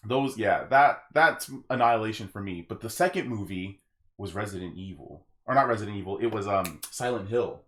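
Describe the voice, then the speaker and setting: A man's voice: lowish voice, animated, normal speed, a bit bright. A young man hosting a gaming podcast, talking casually and giving his opinions in rambling stories with acted-out asides. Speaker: podcast host.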